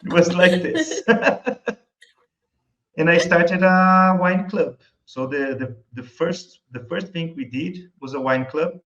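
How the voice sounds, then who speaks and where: A man's voice: high voice, a bit bright. A man from Brazil with a Brazilian Portuguese accent, a native Portuguese speaker, talking casually as an interview guest and searching for words in English. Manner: halting, searching for words, often chuckling. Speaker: interview guest, wine YouTube creator. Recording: muffled, echoey room sound.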